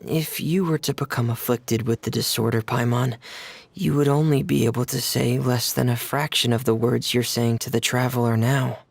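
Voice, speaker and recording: A man playing a shy, reserved, polite young diver in a video game, hesitant and soft-spoken, with music behind him. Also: mid-range voice, fairly even intonation, normal speed, creaky. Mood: deadpan.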